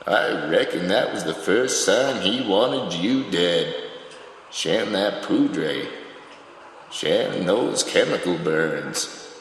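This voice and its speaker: A man's voice: low-pitched, lively intonation, takes it slowly, exaggerated voice. A man playing a world-weary rustic father in a bleak audio drama, speaking in terse, grave, folksy phrases. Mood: grave, resigned. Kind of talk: surreal audio drama dialogue. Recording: very echoey reverberant hall, faint noise.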